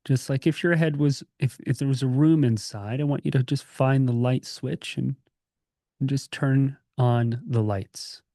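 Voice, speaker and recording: A man leading a guided meditation as a teacher, speaking in a gentle, calming, informal way with pauses. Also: mid-range voice, no echo, clean studio sound. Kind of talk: guided meditation, conversational and unscripted.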